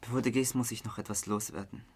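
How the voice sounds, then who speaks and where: A man's voice: on the low side, steady tone, fast talker, darkish tone, slight background noise, close to an everyday voice. An actor with a Swiss German accent playing a drunk, remorseful young man in a radio drama. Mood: earnest, apologetic. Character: drunk, remorseful young man confiding in his best friend.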